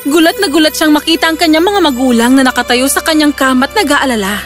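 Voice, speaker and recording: A woman with a high voice narrates a children's fairy tale. It is scripted storytelling, with soft music running underneath. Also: quick pace.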